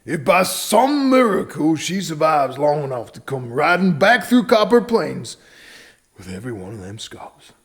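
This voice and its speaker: An actor playing a stern but fatherly, world-weary sheriff in a western audio drama, with an American Southern drawl and a deliberate, folksy manner. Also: full character voice. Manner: deliberate, folksy, swinging from hushed to booming.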